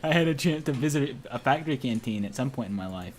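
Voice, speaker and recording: A man's voice: medium pitch, lively intonation, fast. A man reading visual novel narration aloud as an amateur during a playthrough.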